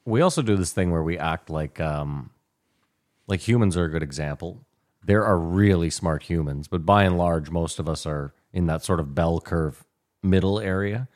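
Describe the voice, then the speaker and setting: Male podcast co-host, very low pitch, dry and needling. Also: moderate pace, a little mellow. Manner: dry, teasing, argumentative. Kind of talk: casual chat.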